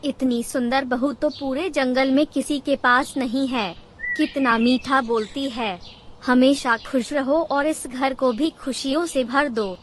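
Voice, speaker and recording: Lady doing a naggy old bird mother in law, cartoon, really high voice, slow whiny complaints. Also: fairly even intonation, slight background noise. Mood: gushing, sweet. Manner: complaining, taunting, drawn-out dramatic delivery. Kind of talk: Hindi cartoon character acting.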